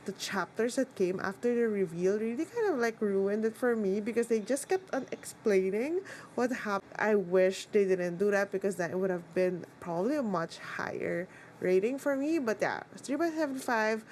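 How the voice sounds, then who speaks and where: A woman's voice: medium pitch, lively intonation, moderate pace, a little mellow, slight background noise. Chatty woman book vlogger. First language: Tagalog. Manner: chatty, rambling, lots of "like" and "um". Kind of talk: casual chat.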